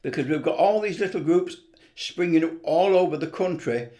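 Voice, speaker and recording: A man's voice: a little high, moderate pace, dark tone. Enthusiastic older hobbyist man, rambling casually. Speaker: hobbyist video presenter. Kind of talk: casual unscripted vlog talk.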